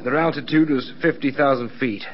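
An actor playing an earnest, dutiful radar operator who reports matter-of-factly in a vintage radio drama. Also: male voice, mid-range voice, brisk, natural voice. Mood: respectful. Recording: muffled, noisy old radio broadcast.

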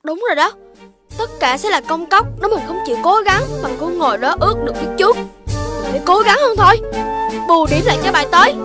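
A very high, childlike voice for an impulsive cartoon puppy. It is cheeky and expressive, with a Southern Vietnamese accent, over loud children's music. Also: female voice, fast. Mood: resolved. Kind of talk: animated children's cartoon acting.

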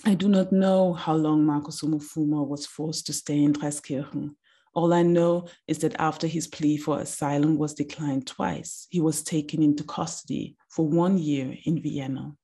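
A woman in her thirties giving a slow, deliberate reading of a reflective text over a video call from a small room. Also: low voice, mellow, breathy edge. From Austria.

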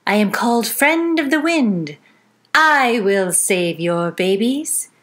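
A woman's voice: medium pitch, expressive, takes it slowly, a bit bright. A woman voicing a proud male buzzard, chief of the flying birds, who sings a chant. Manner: sung chant.

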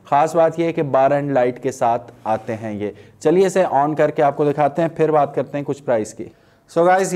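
A man's voice: on the high side, darkish tone. Chatty man reviewing bike parts. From Pakistan. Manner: chatty and persuasive, mixing in English phrases. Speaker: product reviewer. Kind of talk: casual product review. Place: small indoor studio room.

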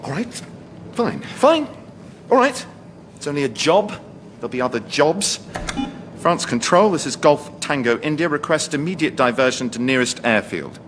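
An actor with an English accent playing an anxious, flustered, easily exasperated airline captain in a radio sitcom. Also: male voice, fairly high pitch, big pitch swings, normal speed, a bit bright, in a large hall, slight background noise. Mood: defeated, indignant. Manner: fretful, indignant, stammering under pressure.

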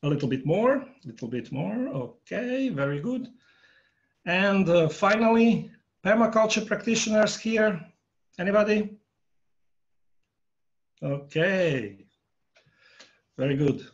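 A man in his fifties, a native Croatian speaker, giving a workshop talk on a video call from home, pausing often with fillers. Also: sits high, lively intonation, dull audio. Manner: halting, many filler pauses, polling the audience. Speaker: workshop presenter. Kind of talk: online conference talk. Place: home room. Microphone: earphone headset on a video call.

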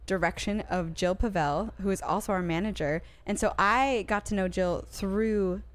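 A young woman from Minnesota, a singer guest in a radio studio, chatting in a relaxed way full of likes and ums. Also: medium pitch, moderate pace. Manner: relaxed, filler-heavy, says like and um often. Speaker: singer, band member guest. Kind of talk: casual interview chat. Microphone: studio mic on arm, headphones.

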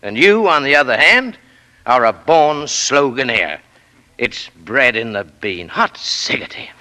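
An actor playing a pompous, effusive company boss with flowery, hemming speech in 1940s radio style. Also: male voice, mid-range voice, sing-song, unhurried, bright, forceful tone. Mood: effusive, flattering. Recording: vintage 1940s radio broadcast.

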